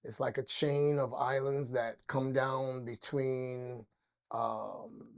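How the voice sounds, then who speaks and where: A man's voice: mid-range voice, level tone, slow pace, vocal fry. An older man from Barbados, an interview guest telling stories in a loose, rambling way with many fillers. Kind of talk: casual interview storytelling.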